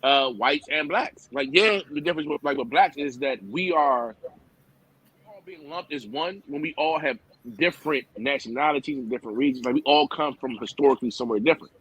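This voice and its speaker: A man's voice: on the high side, animated, a little fast, a bit bright, husky, poor audio. A man talking in an emphatic, slangy way as a co-host of a casual talk show. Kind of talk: casual profane chat.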